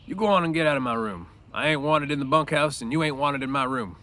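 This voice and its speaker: A man doing a sharp, bitter stable hand. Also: medium pitch, fast, voice pushed up, close to an everyday voice. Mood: sharp, scowling. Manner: sharp, complaining, defensive, folksy rural speech. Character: proud, aloof, bitter stable hand with crooked back. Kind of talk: storybook read-aloud character voice.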